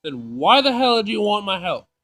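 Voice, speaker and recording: Guy with a high voice doing a lets play, reads out the game text, sassy and informal. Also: sing-song, normal speed, pushed and bright, soft background music. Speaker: gaming video player. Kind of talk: casual let's play, reading game text aloud with reactions.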